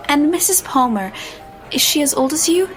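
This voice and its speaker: A woman's voice: high-pitched, expressive, normal speed. An actress playing a bewildered, well-mannered young woman of the 19th century in an audio drama, with proper period phrasing. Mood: curious. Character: bewildered, well-mannered young asylum escapee in 1871, narrating her tale. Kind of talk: audio drama dialogue and first-person narration. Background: music.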